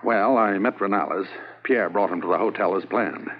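An actor playing an undercover police corporal who poses as a millionaire, confident and lightly wry, in a 1950s radio drama. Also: male voice, deep voice, moderate pace, close to an everyday voice. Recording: muffled lo-fi old radio transcription with faint hiss.

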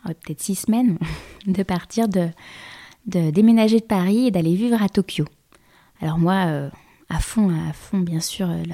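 Gravelly, mellow-voiced woman in her thirties. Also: on the low side. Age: 30s. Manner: hesitant, many fillers and restarts. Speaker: interview guest. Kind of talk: conversational interview.

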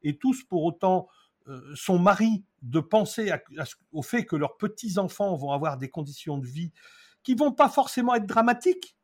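A man's voice: high voice, very animated, normal speed, a little mellow, slightly rough. A man in his sixties, an interview guest from France who talks about housing in a reflective way, stalling often and then pressing his points hard. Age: 60s. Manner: hesitant, many restarts, then emphatic bursts.

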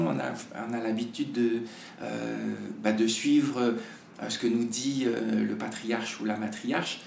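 A hoarse man, a podcast guest, talking in a halting way with many restarts. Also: mid-range voice, a little mellow, reverberant, a little hiss or hum. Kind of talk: casual conversation.

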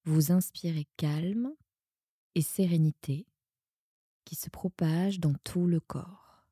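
A woman guiding a sleep meditation in a soothing, hushed, breathy manner that often nears a whisper. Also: lowish voice, fairly even intonation, slow pace, no echo, studio quality. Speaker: yoga nidra guide and podcast host.

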